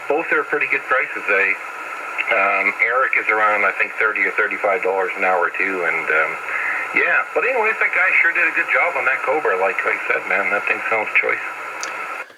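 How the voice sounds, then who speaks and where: Over a two-way radio, a man chats casually in an easygoing, rambling, hesitant way. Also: lowish voice. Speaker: CB radio operator. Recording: very noisy, static-laden CB radio transmission.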